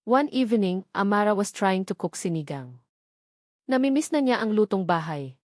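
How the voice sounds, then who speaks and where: A woman's voice: medium pitch, expressive, moderate pace, no echo. Woman narrating a romance drama, dry close sound. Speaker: storyteller narrator. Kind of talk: scripted dramatic narration of a romance audio story.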